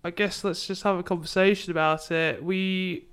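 A young woman hosting a casual podcast chat, chatty and quick to laugh as she steers the conversation. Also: fairly low pitch, fairly even intonation, fairly bright tone, smooth. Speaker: podcast host and youth ministry leader.

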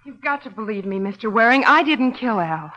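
A woman's voice: fairly high pitch, animated, fairly quick, pushed and bright. An actress playing a desperate, defensive woman suspected of murder in an old radio drama, pleading and indignant. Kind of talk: 1940s-50s radio drama acting. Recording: muffled old radio, long reverb.

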